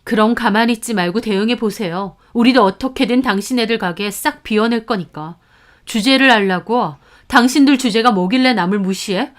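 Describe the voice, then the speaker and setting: A woman's voice: a little high, moderate pace, natural voice. A woman voicing a fierce older sister figure who confronts others firmly and sharply. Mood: cold, threatening.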